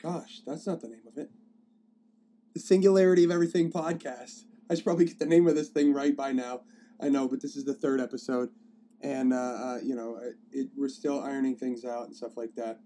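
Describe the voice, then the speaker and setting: A hoarse man with a cold hosting his own podcast, rambling casually with many fillers and restarts as he streams live from his phone. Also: mid-range voice, steady tone, a little fast, warm tone. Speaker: podcast host. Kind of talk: casual solo podcast talk.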